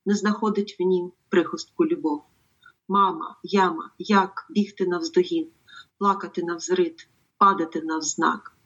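A woman translator from Ukraine, a native Ukrainian speaker, who reads a poem and then speaks in a halting way with many pauses. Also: fairly low pitch, fairly even intonation, fairly bright tone, husky, muffled recording. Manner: halting, hesitant speech with many pauses and fillers. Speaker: poetry translator.

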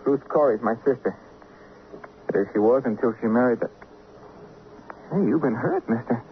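An American actor playing an edgy, wounded cabinetmaker in a radio drama, earnest, halting and volatile. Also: male voice, mid-range voice, lightly acted. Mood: subdued. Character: edgy, wounded working-class male cabinetmaker, protective brother. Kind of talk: 1950s radio drama acting. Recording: muffled old radio recording with hiss.

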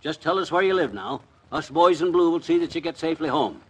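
An actor playing a blustery, boastful husband in an old-time radio comedy, bossy and excitable. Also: male voice, a little high, brisk, husky, poor audio. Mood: cocky.

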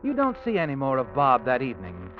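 An actor playing an ominous, knowing narrator of an old radio suspense play, addressing the listener with insinuation. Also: male voice, medium pitch, huge pitch swings, quick pace. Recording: dull old radio recording, loud music behind.

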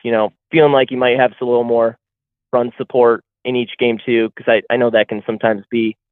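A man's voice: medium pitch, flat delivery, a little fast, no echo. A young man talks over a thin, phone-like line, his voice dry and close. He chats loosely, leaning on plenty of likes and ums as he answers questions as a guest. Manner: loose and chatty, heavy on fillers. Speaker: guest athlete. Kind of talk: casual podcast interview. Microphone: phone call.

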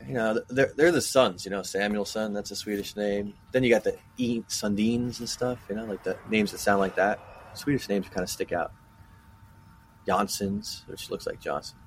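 A man co-hosting a podcast, rambling and thinking out loud in casual chat. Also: on the low side, expressive, moderate pace, vocal fry, slight background noise. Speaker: podcast co-host.

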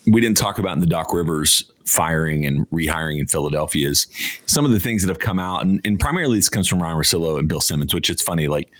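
Male sports podcast co-host, extremely low voice, casual banter, dry sound. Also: lively intonation, quick pace, dry recording.

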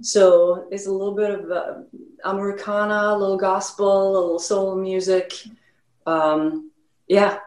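A woman's voice: medium pitch, little pitch movement, measured pace, darkish tone, reverberant. A woman who grew up in Sweden, with a slight Swedish accent, talking casually as a musician guest in an interview and rambling with many fillers.

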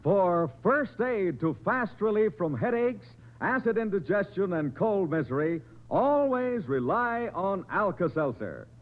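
A man's voice: high voice, sing-song, measured pace. A man announcing a sponsor on 1950s radio in an emphatic, scripted salesman's style. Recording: noisy, tinny 1950s radio recording.

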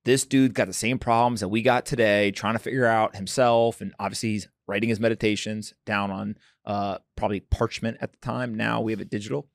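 A man's voice: on the low side, fairly even intonation, fairly quick, creaky, hardly any pauses, no room sound, clean studio sound. A man in his forties hosting a podcast, talking loosely with slang and jokes. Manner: loose, joking, informal slang. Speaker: podcast host. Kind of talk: casual conversational interview.

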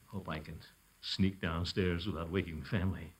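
A man's voice: deep voice, steady tone, normal speed, breathy edge. An American man in 1940s radio comedy style, playing a blustering, cowardly middle-aged uncle who bumbles as an amateur detective. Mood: nervous muttering. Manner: bumbling, nervous muttering and chuckling. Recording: noisy old radio recording.

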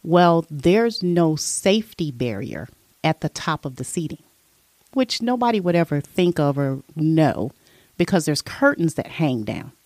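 Low-voiced woman telling her life story. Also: very animated, measured pace, a little mellow, no room sound. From born abroad, raised in military family. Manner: chatty, storytelling, many "you know" fillers. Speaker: interview guest. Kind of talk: casual life-story interview.

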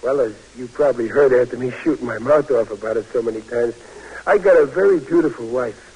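An actor playing a big, good-natured, bashful young army private, talking in a folksy, stammering way in 1940s radio drama. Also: male voice, mid-range voice, a little fast, darkish tone, husky, rough recording. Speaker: soldier.

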